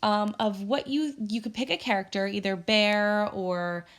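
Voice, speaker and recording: A woman's voice: mid-range voice, steady tone, unhurried. A woman teacher reading a children's storybook aloud expressively to her pupils.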